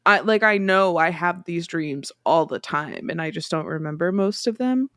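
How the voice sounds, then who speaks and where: A woman in her twenties co-hosting a podcast, chatting in a relaxed, unhurried way and laughing softly. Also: lowish voice, no echo, clean studio sound. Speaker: podcast co-host. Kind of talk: casual chat.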